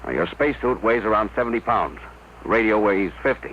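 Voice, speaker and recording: An actor playing an earnest, decisive rocket crew leader in a 1950s radio drama. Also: male voice, lowish voice, lively intonation, fairly quick. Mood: urgent instruction. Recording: noisy, muffled old radio.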